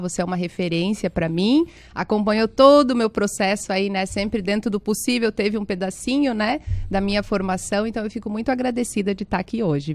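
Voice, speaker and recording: A woman's voice: mid-range voice, no echo. A woman in her forties from Paraná with an interior Paraná accent, a physiotherapist chatting about her life as an interview guest. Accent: Paraná interior Brazilian Portuguese. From Paraná, Brazil. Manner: chatty, warm storytelling with many fillers. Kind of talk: casual interview chat.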